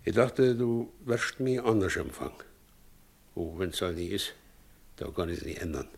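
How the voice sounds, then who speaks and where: An actor playing an aggrieved, weary working-class husband in a drama, speaking emphatic colloquial dialect. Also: male voice, low-pitched, expressive, measured pace, gravelly, slightly rough, full character voice. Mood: weary, hurt. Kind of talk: stage-style radio drama dialogue.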